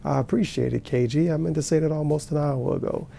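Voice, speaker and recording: A man's voice: fairly high pitch, fast talker, vocal fry, warm tone, a little hiss or hum. A man giving sarcastic, profane reaction commentary while watching a video. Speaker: commentary streamer.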